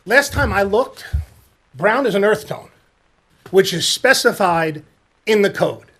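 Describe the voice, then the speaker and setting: Pointed, high-voiced homeowner. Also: male voice, animated, measured pace. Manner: pointed and argumentative, growing forceful. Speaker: homeowner making public comment. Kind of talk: public comment at a planning board meeting.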